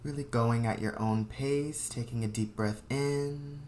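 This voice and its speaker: A meditation guide speaking soothingly and unhurriedly in short phrases with long pauses, leading a guided meditation. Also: medium pitch, steady tone, very noisy.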